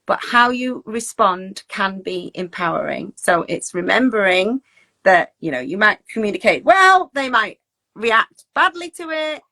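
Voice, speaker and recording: A woman's voice: medium pitch, big pitch swings, measured pace, gravelly, bright, forceful tone. A woman giving an informal coaching talk in a chatty, encouraging way, often checking in with tag questions. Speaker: teacher wellbeing coach leading a talk. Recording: distant microphone.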